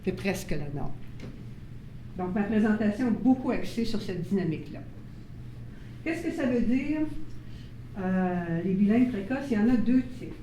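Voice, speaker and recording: A woman presents to a room of parents, explaining step by step and often checking that they follow. Her voice is mellow and soft-edged. It carries the echo of a meeting room, picked up from a far mic with faint noise behind. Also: medium pitch, expressive, moderate pace, long pauses. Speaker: workshop presenter on child bilingualism. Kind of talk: live workshop talk to parents. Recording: roomy sound from a distant mic, faint noise.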